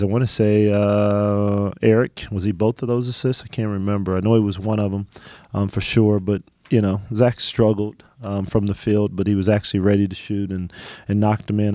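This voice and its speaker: Middle-aged coach at a postgame presser, low dark monotone, dry close sound, lots of ums. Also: male voice, dry recording. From United States. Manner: halting, many ums and restarts. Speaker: head basketball coach. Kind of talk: post-game press conference answers.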